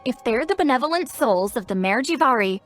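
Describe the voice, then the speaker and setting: A woman's voice: sits high, expressive, fairly quick, a bit bright, soft background music. An actress playing a cocky, blunt young female shaman in a video game, speaking in a self-assured, brusque, explanatory way. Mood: wary, pondering. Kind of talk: animated video game voice acting.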